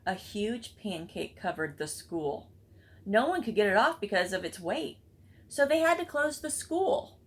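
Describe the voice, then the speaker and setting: A woman's voice: mid-range voice, lively intonation, unhurried. A woman reading a children's storybook aloud expressively, with chatty asides to listeners. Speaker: read-aloud book club reader.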